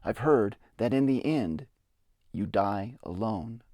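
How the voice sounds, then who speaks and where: A man's voice: fairly low pitch, slow, warm tone, dry recording, clean studio sound. A man giving a reflective, earnest dramatic reading of his own memoir. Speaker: memoirist narrator. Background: music.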